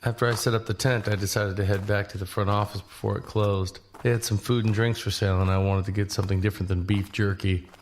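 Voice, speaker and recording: A man's voice: on the low side, little pitch movement, fast talker, hardly any pauses, a little hiss or hum, natural voice. An actor playing an easygoing, weary cross-country walker, narrating his journey in a first-person audio drama. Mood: reflective, matter-of-fact. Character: easygoing weary man walking across the country. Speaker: narrator and lead character.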